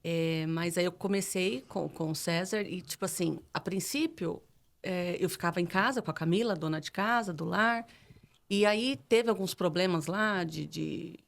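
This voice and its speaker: A woman's voice: fairly low pitch, normal speed, creaky. A middle-aged Brazilian woman telling stories casually as an interview guest, acting out bits of remembered dialogue as she goes. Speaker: podcast guest, business administrator.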